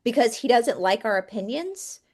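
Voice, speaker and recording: A woman's voice: on the high side, animated, moderate pace, darkish tone. A woman co-hosting a casual chat, talking passionately and rambling on with restarts. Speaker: co-host.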